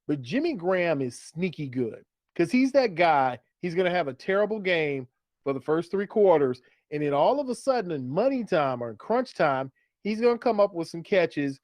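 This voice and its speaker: A man with a slightly high-pitched voice talks casually as a sports podcast co-host. He explains his thinking step by step and leans on repetition to make a point. The sound is very dry, with no room to it. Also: big pitch swings, normal speed, a little mellow, no room sound. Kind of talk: casual fantasy football chat.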